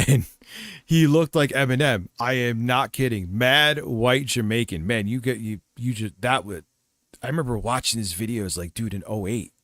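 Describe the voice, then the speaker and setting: A man's voice: mid-range voice, normal speed, a bit bright, no room sound, clean studio sound. A middle-aged man streaming casual commentary, rambling and swearing as he reminisces. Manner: profane, meandering, reminiscing. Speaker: streamer reacting to another stream.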